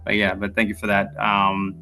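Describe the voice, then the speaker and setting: A man's voice: fairly low pitch, monotone, normal speed, creaky, bright, forceful tone, soft background music. A man from Ethiopia, a guest on a podcast, giving loose casual answers full of fillers and restarts. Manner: rambling, many fillers and restarts. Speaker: podcast guest, real estate founder.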